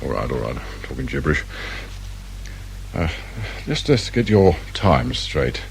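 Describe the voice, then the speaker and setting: An actor with a British accent playing a shrewd, dry, irritable detective inspector in a radio crime drama. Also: male voice, very deep voice, sing-song, measured pace, gravelly, slight background noise, full character voice, poor audio. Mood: probing, measured. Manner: probing, brusque, sardonic.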